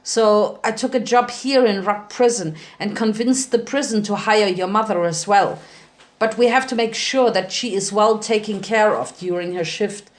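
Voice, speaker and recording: A woman voicing a weary, loving male pony father, halting and earnest, in a story reading. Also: medium pitch, lightly acted. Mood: somber recounting. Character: lanky stallion pony father, guilt-ridden, weary, loving.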